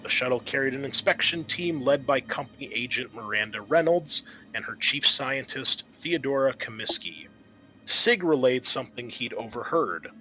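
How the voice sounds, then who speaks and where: A man working as game master, narrating a tabletop roleplaying scenario with measured, storyteller-like scene-setting. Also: mid-range voice, bright, forceful tone, rough recording.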